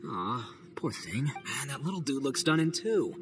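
Rowdy gang boss, slangy, voice bounces all over. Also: male voice, mid-range voice, big pitch swings, measured pace, over music. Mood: concerned, teasing. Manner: loose, slangy, big-hearted. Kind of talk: game cutscene voice acting.

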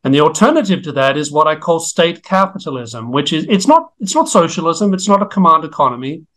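A middle-aged man with an Australian accent, a guest economist speaking in a measured, articulate way on a video call from a home study. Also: fairly high pitch, sing-song, fairly bright tone. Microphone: video call webcam.

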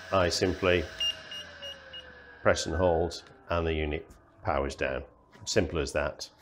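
Low-voiced man demonstrating gear. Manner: plain explanatory, frequent ums and uhs. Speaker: product demonstrator. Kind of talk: product demonstration. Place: studio with green table, indoors.

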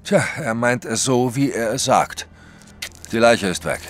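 An actor playing an excitable, emphatic French detective, baffled by a case, in a radio play. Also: male voice, medium pitch, measured pace, lightly acted. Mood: puzzled, insistent. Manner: dramatic, emphatic.

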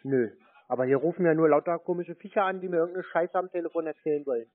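A man's voice: fairly high pitch, fast talker. A man making mocking prank phone conversation in a teasing, sarcastic manner, as the host of the calls. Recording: narrow tinny phone line.

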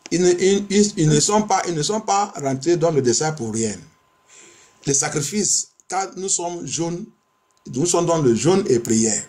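Male pastor, higher-pitched voice, declamatory and repetitive, delivering insistent prayer and sermon. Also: lively intonation, a little slow, darkish tone. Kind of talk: bilingual sermon and fervent prayer.

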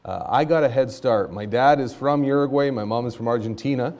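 A man's voice: fairly quick, darkish tone. A middle-aged man who grew up speaking Spanish at home, giving an earnest, conversational missions talk from a church pulpit. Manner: earnest, conversational, rises in pitch when stressing a point. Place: church sanctuary stage at a pulpit.

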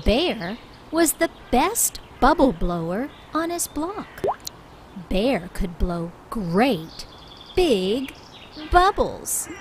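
A woman reading a storybook aloud for young children in an expressive, deliberate way, drawing out key words. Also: fairly high pitch, noisy background. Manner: expressive, deliberate, with drawn-out emphasis. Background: background music.

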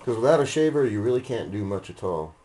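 A man giving a casual, unhurried hands-on demonstration, explaining each step as he works. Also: on the low side, big pitch swings, dark tone. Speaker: hobbyist demonstrator, host. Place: indoor hobby room. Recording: noisy room, machine noise.

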